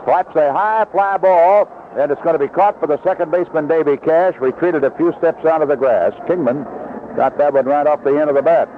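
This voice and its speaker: A man's voice: fairly high pitch, a little fast. A man calling baseball play-by-play on live radio in short, descriptive phrases. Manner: descriptive, short clipped calls. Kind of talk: live radio sports commentary. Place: broadcast position at an outdoor ballpark. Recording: narrow-band vintage radio broadcast, noisy.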